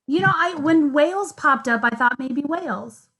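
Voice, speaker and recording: A young woman hosting a virtual conference session, moderating questions in a friendly, relaxed way from an office. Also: sits high, moderate pace. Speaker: event host, moderator. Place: office, indoors. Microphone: video call.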